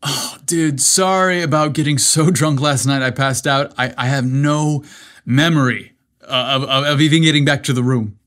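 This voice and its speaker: A man's voice: mid-range voice, steady tone, moderate pace, fairly bright tone, natural voice. A man voicing an affectionate, mischievous young groom with casual dude talk in a narrated story. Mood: apologetic, stammering.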